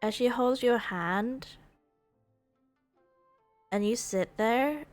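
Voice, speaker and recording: Slow-paced woman streamer musing aloud. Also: a little high, faint music behind. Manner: rambling, musing aloud, reactive. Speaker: streamer playing a game. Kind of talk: casual live gameplay commentary.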